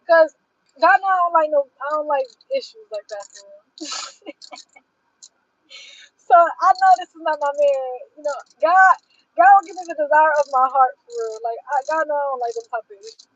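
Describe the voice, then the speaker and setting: A young woman livestreaming, chatting casually and rambling in a slangy, animated way to her viewers. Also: sits high, normal speed, pauses often, no echo, lo-fi sound.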